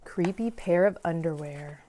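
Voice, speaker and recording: A woman's voice: fairly low pitch, unhurried, dark tone, a little hiss or hum, low-quality recording. A woman hosting a story time in a library, reading a picture book aloud to children with dramatic emphasis on key words. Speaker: read-aloud host in a library.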